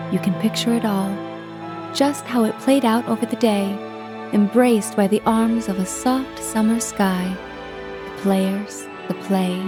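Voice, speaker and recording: An actress playing a flirtatious, dreamy 1950s telephone operator who narrates stories in a poetic style. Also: female voice, on the high side, natural voice. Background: music.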